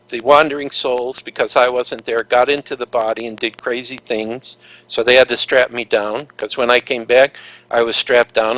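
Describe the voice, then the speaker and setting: A man's voice: medium pitch, a bit bright, no room sound, rough recording. Earnest rambling man, dry sound. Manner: rambling, earnest, many restarts and fillers. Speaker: interview guest, author. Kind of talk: casual interview storytelling.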